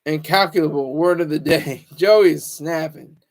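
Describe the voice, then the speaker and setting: A man's voice: fairly high pitch, measured pace. A man hosting a casual livestream, rambling, teasing viewers and stumbling over restarts. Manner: stammers and restarts often. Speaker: livestream host.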